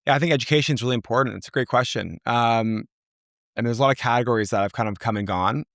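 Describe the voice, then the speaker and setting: A man's voice: medium pitch, fast talker, vocal fry, a bit bright, no echo, clean studio sound. A young man, a company founder, talking casually as an interview guest, with many fillers and restarts. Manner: loose, filler-heavy, rambling.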